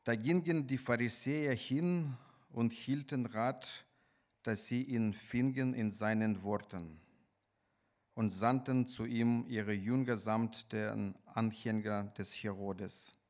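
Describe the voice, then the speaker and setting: A man from Ukraine with a Ukrainian accent, preaching in a halting, deliberate manner with pauses mid-sentence. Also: medium pitch, a little mellow. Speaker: guest preacher. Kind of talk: sermon with scripture reading.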